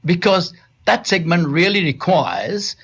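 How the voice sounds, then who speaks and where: Sri lankan-born guy, middle-aged, slightly high voice, lots of uh and restarts, studio interview. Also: sing-song, a little slow, a bit bright, slightly rough. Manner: hesitant, many restarts and fillers. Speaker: telecom company founder, guest.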